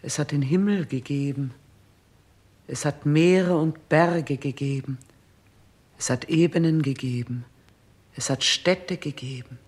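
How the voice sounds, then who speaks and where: An actress in a 1960s German radio play playing a stern, cold queen with severe, measured declamation. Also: extremely low pitch, a little mellow, husky, full character voice.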